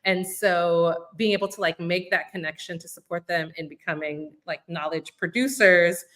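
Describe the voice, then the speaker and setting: A woman's voice: fairly low pitch, fairly even intonation, moderate pace, a bit bright. A woman who leads a youth organization, talking casually in a panel discussion and thinking aloud with lots of "like" fillers.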